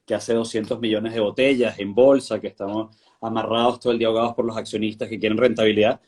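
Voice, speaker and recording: A man in his thirties from Venezuela, answering interview questions in a relaxed, thoughtful way with frequent fillers and restarts. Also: lowish voice, fast talker. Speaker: guest, winery director.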